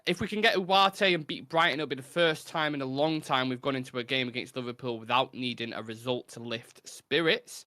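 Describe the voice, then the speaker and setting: A man with a Mancunian accent hosting a live football podcast, briskly reading out viewer comments and chatting. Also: medium pitch, sharp tone, dry recording. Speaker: host.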